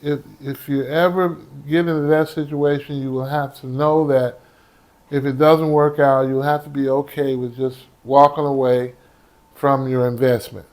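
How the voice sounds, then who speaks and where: A man's voice: mid-range voice, flat delivery, moderate pace, darkish tone. A man co-hosting a vlog and explaining points to viewers. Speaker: vlog co-host.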